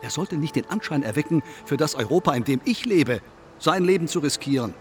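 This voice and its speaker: An actor playing a cynical, coolly provocative man in a stylised radio play, smug, needling and deliberate. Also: fairly high pitch, animated, soft background music, natural voice. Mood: cool, argumentative.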